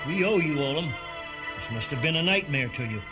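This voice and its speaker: An actor playing a tense but fair army major who gives clipped, authoritative orders in a science fiction radio drama. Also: male voice, a little high, expressive, a little fast, lightly acted. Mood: apologetic, relieved. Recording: dull radio play recording under loud music.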